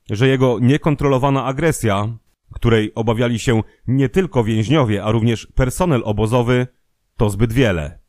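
A man reading a scripted documentary narration in a measured, formal manner. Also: medium pitch, darkish tone, no room sound, studio quality.

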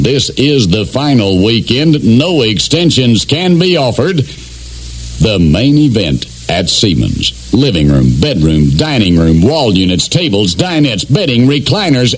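A man's voice: mid-range voice, very animated, unhurried. A man announcing a retail sale commercial in emphatic, punchy phrases. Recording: radio broadcast with faint noise, hum.

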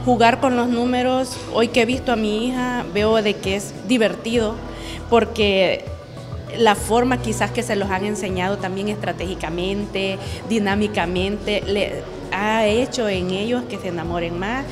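A woman's voice: mid-range voice, steady tone, measured pace, music in the background. A middle-aged woman, a proud parent talking in a chatty way in an interview with a handheld mic. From El Salvador. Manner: chatty and proud, tells anecdotes. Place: university event hall, indoors.

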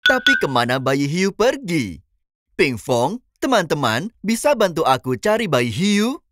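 A woman voicing a kindly shark parent in a children's cartoon, speaking expressively and clearly to children. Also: deep voice, very animated, a little slow, fairly bright tone, full character voice.

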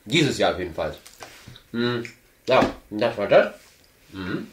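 A man's voice: lowish voice, sing-song, takes it slowly, a little hiss or hum. Young guy with a stuffy nose, rambling chat, lots of ums, echoey room. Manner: rambling, hesitant, many fillers. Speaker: channel host. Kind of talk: casual chat while opening an advent calendar. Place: indoor room at a table. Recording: roomy, echoey room sound.

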